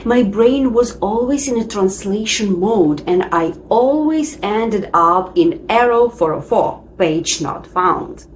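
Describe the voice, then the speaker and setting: A woman teaching English as a second language, narrating a scripted lesson in a clear, deliberate way. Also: medium pitch, a little mellow, a little hiss or hum, rough recording. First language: not English.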